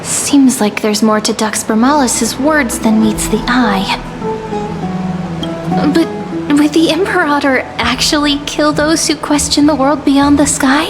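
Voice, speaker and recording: A woman voicing a calm, perceptive young heroine in a video game, polite and measured as she speaks. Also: high voice, big-room sound. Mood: pondering. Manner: polite, measured, coolly observant. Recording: music under the voice.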